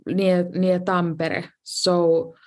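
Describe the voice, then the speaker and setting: A middle-aged Finnish-accented woman speaks a little low for a woman, talking slowly through her story as a guest. She hesitates often and restarts her sentences, filling gaps with "you know, like.". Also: level tone, warm tone. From Finland. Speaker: design professor and dean, guest. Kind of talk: casual interview conversation.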